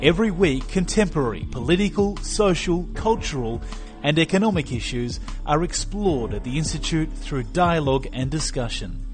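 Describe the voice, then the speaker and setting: A man's voice: fairly high pitch, normal speed, hardly any pauses, soft background music. A man reading a formal, scripted podcast introduction. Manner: formal, even read-out. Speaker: podcast announcer.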